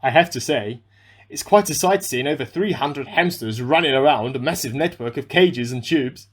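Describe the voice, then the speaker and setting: A man's voice: medium pitch, lively intonation, moderate pace, a little hiss or hum, lightly acted. A man playing an eccentric amateur scientist who earnestly records a self-important secret diary in a radio play. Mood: pleased, expectant. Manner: earnest, self-important, musing aloud in dated log entries.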